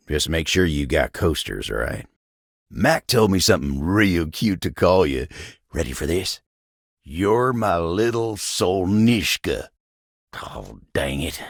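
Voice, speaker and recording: An actor playing a folksy, tender, patient table turned human in a video game, with an American accent and a gravelly, husky drawl. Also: male voice, deep voice, no room sound, studio quality, full character voice. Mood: warm, bashful. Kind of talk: video game character dialogue.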